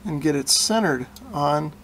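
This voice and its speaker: A man with a fairly high voice and plenty of vocal fry narrates a hands-on repair tutorial. His delivery is informal and folksy, with a self-deprecating streak. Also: lively intonation, measured pace, fairly bright tone, a little hiss or hum, rough recording. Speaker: radio repair hobbyist and video host.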